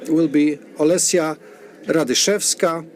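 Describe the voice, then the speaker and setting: A man's voice: on the high side, unhurried. A man doing simultaneous interpretation at a conference, often restarting and rephrasing as he renders the speaker's words.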